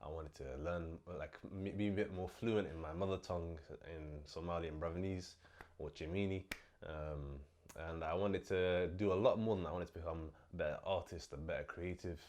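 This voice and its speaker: A young man hosting his own vlog, talking casually and a bit low on energy as he recovers from illness, with lots of fillers. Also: brisk. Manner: relaxed, chatty, many fillers. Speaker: host. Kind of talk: casual vlog talk to camera.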